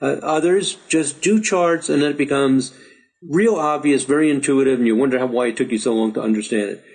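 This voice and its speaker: A middle-aged man who is losing his voice, patiently walking learners through a software chart tutorial and urging them to practice. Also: medium pitch, a little fast, low-quality recording. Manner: patient, repetitive, encouraging. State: slightly hoarse, losing his voice. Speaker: astrology teacher. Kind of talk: screen-share software tutorial.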